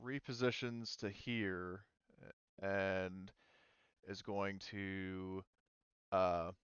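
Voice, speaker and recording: A man acting as game master, casually explaining rules and thinking aloud in a halting way. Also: low voice, flat delivery, fairly bright tone, clear, resonant tone, no echo, dull audio. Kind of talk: casual tabletop game narration and rules talk.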